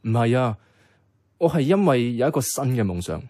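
A man's voice: mid-range voice, big pitch swings, moderate pace, dark tone, dry recording, studio quality. An actor with a Hong Kong Cantonese accent playing an earnest, clumsy, lovestruck young man in a radio drama. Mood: quiet, sincere.

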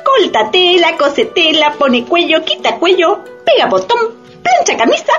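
A woman's voice: extremely high pitch, very animated, measured pace, a bit bright, heavily acted. A woman voicing a pushy, theatrical Japanese saleswoman in a satirical radio play. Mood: eager, salesy. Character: pushy Japanese saleswoman hawking robot factory machines. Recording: dull lo-fi radio drama, faint music.

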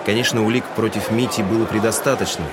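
A man's voice: fairly low pitch, steady tone, fast talker, a loud crowd, heavy background noise. A man giving scripted literary narration as the narrator of an audio drama.

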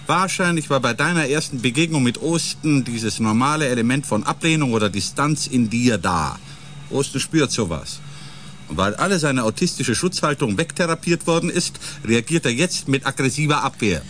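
Male ship psychologist , actor: conciliatory explainer, medium pitch, expressive melody, radio drama. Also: moderate pace, a little hiss or hum, close to an everyday voice. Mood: placating, earnest. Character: calm, balancing male ship psychologist and biologist.

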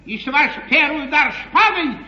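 High-pitched theatrical English nobleman schemer. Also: animated, moderate pace, pushed and bright, full character voice. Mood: wry, emphatic. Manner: declamatory, emphatic, repeats phrases rhetorically. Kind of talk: 1950s theatrical comedy stage acting. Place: theatre stage, live performance. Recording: noisy, muffled, echoey 1950s theatre broadcast.

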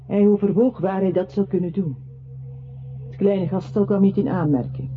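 A woman performing as the narrator of a literary radio play, telling a man's story in a measured way. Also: mid-range voice, over music, lightly acted. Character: woman narrator recounting a troubled man's life. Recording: tinny band-limited audio with clear noise.

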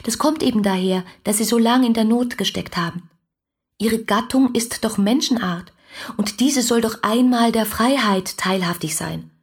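An actress playing an ardent, dreamy young letter writer, breathy and confiding, in a radio play. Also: female voice, a little high, moderate pace. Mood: earnest, defiant. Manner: musing, confiding, intimate.

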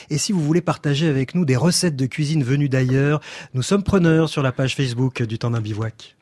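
A slightly high-voiced man hosting a radio talk show from the studio. He leads with calm questions and short acknowledgments. The sound is dry and close. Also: dry recording. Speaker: radio host.

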